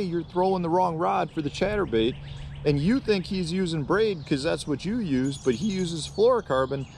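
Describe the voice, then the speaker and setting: A man's voice: on the high side, darkish tone. A man in his forties explaining fishing gear in a conversational way to camera, repeating points for emphasis. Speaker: fishing show host and rod builder. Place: outdoors in a lakeside park. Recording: outdoor ambient noise.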